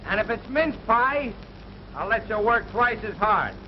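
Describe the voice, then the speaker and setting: High-pitched wisecracking small-town joker. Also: male voice, lively intonation, a little slow, a little hiss or hum. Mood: bossy. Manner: flippant, gag-cracking patter. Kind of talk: 1930s film comedy acting. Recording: dull 1930s film soundtrack, music behind.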